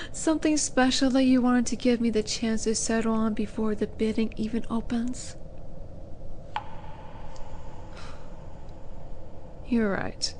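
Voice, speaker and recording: A woman voicing a haughty, teasing female demon in a roleplay audio drama, with a flirty, sardonic and deliberate manner. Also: on the high side, steady tone, darkish tone, slight background noise, natural voice, low-quality recording. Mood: teasing, intrigued.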